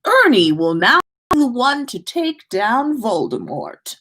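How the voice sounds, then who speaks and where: A woman's voice: on the high side, very animated, takes it slowly, a bit bright, pitched above the performer's own voice, full character voice. Woman playing a whimsical, theatrical old wizard headmaster. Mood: matter-of-fact.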